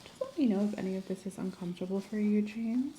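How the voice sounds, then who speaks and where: Soft, mellow woman whispering in ASMR. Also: medium pitch, animated, quick pace, slight background noise. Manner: soft-spoken, often whispering, gentle, clinical. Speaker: ASMR performer playing a medical examiner. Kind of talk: ASMR medical exam roleplay.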